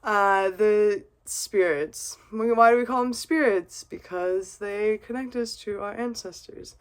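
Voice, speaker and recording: A woman with a middle-pitched voice talks to her camera in a loose, wandering monologue. She is earnest and confiding, and drops casual swears and little laughs as she goes, sounding like she's had a drink. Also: takes it slowly, clean tone. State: has been drinking vodka. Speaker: vlogger.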